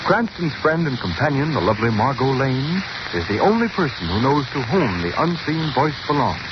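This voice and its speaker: A 1930s radio announcer, a man with a lively, swinging pitch pushing an emphatic sales pitch. Old, dull broadcast sound under hiss. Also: normal speed. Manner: emphatic, persuasive.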